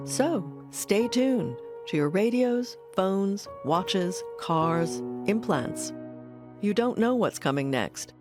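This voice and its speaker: Low-voiced woman narrating over music. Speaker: documentary narrator. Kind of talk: scripted narration. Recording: clear music bed under voice.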